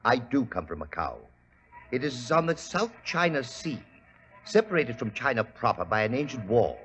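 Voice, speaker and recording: An actor playing a suave, formal, grave spice trader in a 1940s radio drama. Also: male voice, mid-range voice, very animated, moderate pace, fairly bright tone. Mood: grave, ominous. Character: suave, grave foreign-based spice trader, hard of hearing. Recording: muffled old radio recording, faint hiss.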